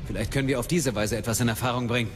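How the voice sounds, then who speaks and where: An actor playing a brisk, authoritative military captain with clipped delivery in a radio drama. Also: male voice, medium pitch, fairly even intonation, slight background noise, lightly acted. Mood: commanding. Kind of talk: German radio drama acting. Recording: long hall-like reverb.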